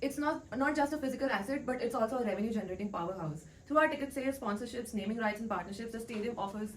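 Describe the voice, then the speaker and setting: A woman gives a scripted business pitch at a fast clip. Her voice sits a little high, and the mic is some way off with faint noise. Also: recorded from a distance. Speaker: panelist in a business role play. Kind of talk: scripted debate presentation.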